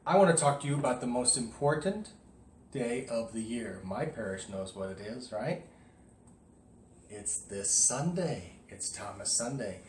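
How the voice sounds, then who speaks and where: Casual priest talking in an echoey room. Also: male voice, mid-range voice, expressive, unhurried, a little mellow. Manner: conversational, candid, emphatic rises. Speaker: Orthodox priest. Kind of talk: casual video talk to parishioners. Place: indoors at home. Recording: echoey room with faint noise.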